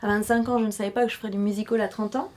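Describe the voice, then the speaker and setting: A woman in her thirties from Paris talks about her stage life in a relaxed interview. She hesitates often, with filler sounds and restarts, at an ordinary middle pitch. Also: rapid-fire. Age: 30s. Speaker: showgirl and actress. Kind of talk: casual interview chat.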